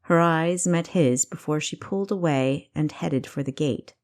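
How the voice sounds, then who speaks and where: A woman's voice: low-pitched, a little slow, a little mellow. A woman reading her own novel as scripted, dramatic audiobook narration.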